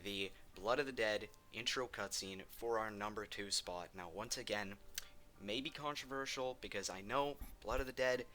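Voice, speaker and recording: A man's voice: lowish voice, normal speed, bright, forceful tone. A man giving casual, opinionated countdown commentary on video games, enthusiastic and emphatic, repeating words for stress. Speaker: video game ranking host.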